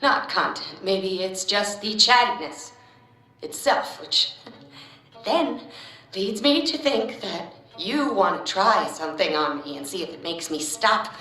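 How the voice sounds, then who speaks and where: A woman's voice: mid-range voice, animated, unhurried, sharp tone. A woman playing a captive test subject in a sci-fi audio drama. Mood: nervous, rambling. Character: female captive test subject in a glass cube. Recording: very echoey, distant from the mic.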